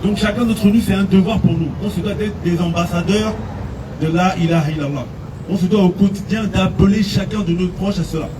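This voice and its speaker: Man from France, earnest street preacher, slightly high voice, distant mic with heavy street noise. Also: a little fast. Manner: earnest, steady exhortation with Arabic phrases.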